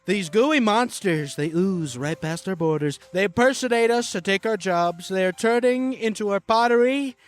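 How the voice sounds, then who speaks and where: A man's voice: high-pitched, expressive, moderate pace, few pauses. A man voicing a boastful, rambling populist presidential candidate in a parody campaign ad, full of folksy, repetitive asides. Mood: alarmist, scornful. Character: bragging, rambling male populist politician running for president.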